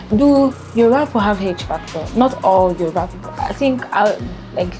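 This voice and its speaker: A woman's voice: mid-range voice, mellow. A young Nigerian woman with a Nigerian accent, chatting casually to the camera in a vlog, laughing often and filling her talk with "like". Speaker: vlogger.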